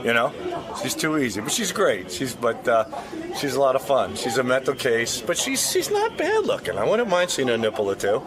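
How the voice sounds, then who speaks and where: A man's voice: medium pitch, huge pitch swings, moderate pace, crowd noise. A man giving crude, offhand comments about a radio guest in a casual interview.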